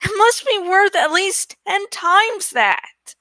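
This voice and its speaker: A woman's voice: very high-pitched, expressive, takes it slowly, pushed and bright, no echo, pitched above the performer's own voice, full character voice. A woman voicing an earnest, stubborn young male villager in a storybook reading, speaking insistently. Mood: indignant. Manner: insistent, protesting.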